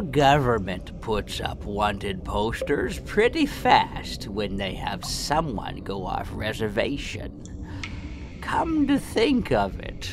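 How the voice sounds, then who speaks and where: A man's voice: lowish voice, vocal fry, full character voice. A man playing a crooked sheriff in a sci-fi audio drama, smug and menacing, with a mock-polite, taunting drawl. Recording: noisy with ambient sound behind.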